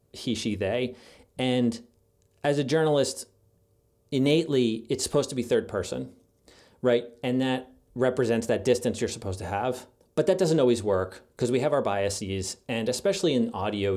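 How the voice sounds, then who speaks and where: A man's voice: medium pitch, a little mellow. A middle-aged man speaking as an interview guest in a casual, reflective, conversational way. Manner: thoughtful, conversational. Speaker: podcast guest, journalist and writer.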